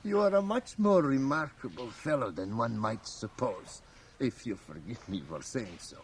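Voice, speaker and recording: An actor playing a very old, mischievous and learned priest in a radio drama, with a gravelly aged voice and sly, chuckling asides. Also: male voice, medium pitch, very animated, moderate pace. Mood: amused. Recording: faint hiss, home cassette radio transfer.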